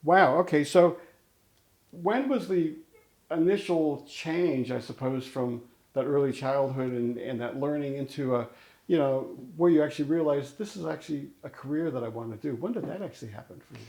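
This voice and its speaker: Mellow-voiced mature male interview host. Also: a little high, moderate pace. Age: middle-aged or older. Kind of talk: conversational interviewing.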